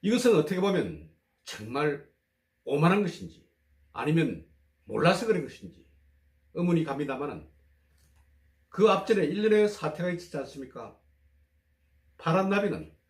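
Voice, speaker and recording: A man who is hoarse from a cold, hosting a political commentary show and talking in a measured, emphatic way, full of rhetorical questions. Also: fairly high pitch, very animated, roomy sound.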